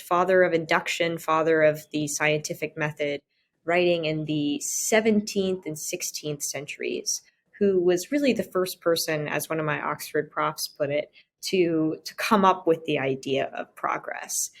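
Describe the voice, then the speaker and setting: A woman guesting on a podcast, explaining ideas in an articulate, academic manner. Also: on the low side. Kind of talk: explanatory intellectual discussion.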